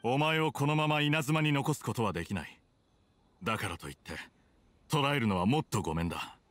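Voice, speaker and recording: An actor playing a stern, conflicted soldier with hidden kindness, speaking in a measured, blunt way in a video game dub. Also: male voice, medium pitch, lively intonation, fairly bright tone, dry recording, lightly acted. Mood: firm, resolute. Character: stern, conflicted male soldier, weary but secretly kind. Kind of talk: Japanese game dub acting.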